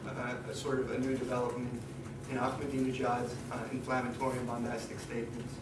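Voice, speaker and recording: Hoarse male lecturer, flat delivery, halting; recorded from afar in an echoey room with a noisy background. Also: mid-range voice, distant mic. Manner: hesitant, many ums and restarts. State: losing his voice. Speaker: guest lecturer. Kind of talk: academic seminar talk.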